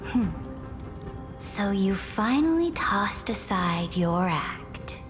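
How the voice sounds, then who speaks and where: An actress voicing a proud, commanding female ruler in a video game, with a haughty, measured and cutting delivery. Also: mid-range voice, very animated. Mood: scornful, challenging. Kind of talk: dramatic video game voice acting. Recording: long reverb, music behind. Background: music.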